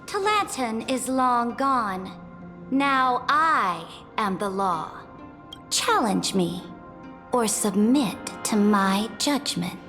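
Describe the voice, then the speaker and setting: An actress playing a proud, cold young empress in a video game, speaking with haughty, deliberate command. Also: female voice, fairly high pitch, expressive, fairly bright tone, full character voice. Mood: stern, menacing. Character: imperious young female empress, cold, proud, ruthless conqueror. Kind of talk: dramatic video game voice acting. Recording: long hall reverb, faint music behind.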